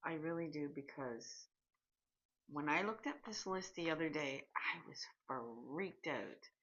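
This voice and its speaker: A Canadian woman in her sixties talks to camera in a candid, chatty vlog, stopping and restarting now and then. Her voice sits a little low and sounds husky and breathy. The recording is dull and lo-fi. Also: lively intonation, measured pace, fairly bright tone. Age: 60s. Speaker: vlogger. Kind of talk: casual personal vlog.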